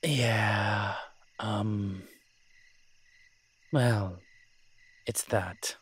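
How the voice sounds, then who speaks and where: Man voicing a husky, slow, flirtatious incubus professor. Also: fairly low pitch, steady tone, full character voice. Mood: hesitant, uneasy. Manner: hesitant, teasing, drawn-out pauses. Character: flirtatious, proud male incubus demon professor. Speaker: audio roleplay voice actor. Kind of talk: intimate scripted audio roleplay.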